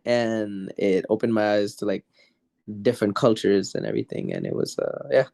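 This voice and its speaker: A young man from Morocco, a musician guest on an interview show, talking in a relaxed, rambling way and laughing easily. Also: fairly low pitch, normal speed, vocal fry. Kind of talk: casual interview.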